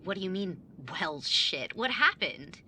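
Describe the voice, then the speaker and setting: A woman's voice: medium pitch, very animated, measured pace, bright, forceful tone, slight background noise, exaggerated voice. A young woman playing a cranky, impatient younger sibling in an audio drama, talking bluntly and with lots of swearing. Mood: agitated.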